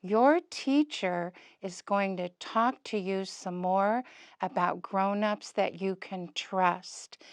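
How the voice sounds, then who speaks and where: A woman presents a puppet lesson to young children at a slow pace. She speaks in short, simple, emphatic phrases and often puts questions to them. The sound is dry, with little room echo. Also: mid-range voice.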